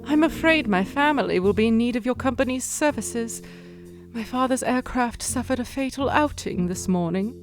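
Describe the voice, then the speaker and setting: A woman's voice: fairly high pitch, lively intonation, moderate pace, faint music behind, close to an everyday voice. A woman acting a determined young heiress in a radio play, cool and poised, with formal theatrical diction. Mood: poised, businesslike.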